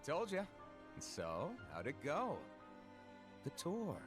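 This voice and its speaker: A man's voice: on the high side, huge pitch swings, slow, full character voice. A man playing a sly, teasing, inquisitive companion with a playful theatrical delivery in a video game cutscene. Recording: music under the voice.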